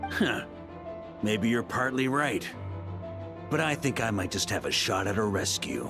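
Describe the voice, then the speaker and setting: A resolute knight hero, earnest and defiant toward his foe. His voice swings widely in pitch, climbing high when he calls out with passion. A lead figure from a story-driven video game. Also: lively intonation, a little slow, over music.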